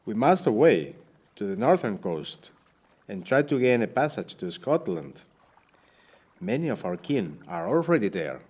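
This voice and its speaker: A man playing a wounded, weary, grave Spanish captain on the run in a period audio drama, with formal, measured speech. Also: lowish voice, sing-song, darkish tone, breathy edge, heavily acted. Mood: grave, resolute. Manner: formal, measured, archaic phrasing.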